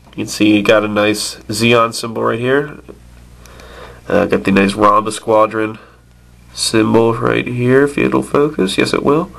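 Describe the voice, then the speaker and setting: A man's voice: mid-range voice, fairly even intonation, a little slow, creaky, a bit bright. A man with a stuffed-up nose from a cold, casually reviewing an action figure with many fillers and restarts. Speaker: toy reviewer.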